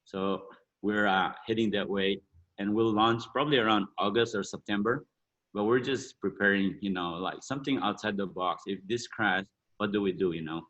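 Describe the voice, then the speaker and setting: Fast-talking earnest man, bright voice. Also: lowish voice, highs cut off. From India or Burma. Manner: quick, earnest, broken English with many "you know"s. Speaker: martial arts school owner. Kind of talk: casual interview talk.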